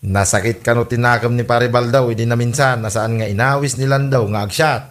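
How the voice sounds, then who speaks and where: A man's voice: medium pitch, steady tone, a little fast, natural voice. A man playing a nosy village gossip in a comedy audio drama, with an Ilocano Filipino accent. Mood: eager.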